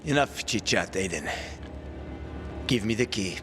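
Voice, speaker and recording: A man's voice: fairly low pitch, lively intonation, unhurried, fairly bright tone. An actor playing a cynical, bitter man cornered in a zombie apocalypse, curt and sardonic, in a video game cutscene. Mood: cold, menacing. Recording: music under the voice.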